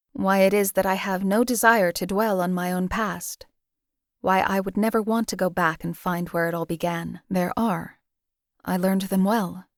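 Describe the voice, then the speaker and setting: A woman voicing a numb, detached female hired killer in a game, who talks in a measured, dry way. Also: fairly low pitch, no room sound, lightly acted. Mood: bitter, restrained. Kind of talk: video game companion voice lines.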